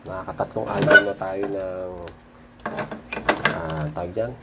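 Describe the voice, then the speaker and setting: A Filipino man doing a casual, unscripted show-and-tell as a vlogger, halting and muttering to himself as he handles things. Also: sits low, vocal fry. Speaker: vlogger showing his cassette collection. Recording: noisy recording with handling clicks.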